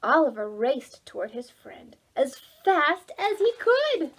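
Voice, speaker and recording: A woman storytime reader with a high, very animated voice. She tells a picture book to preschoolers at an unhurried pace, with dramatic expression. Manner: animated, dramatic, asks listeners questions. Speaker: children's library storytime reader.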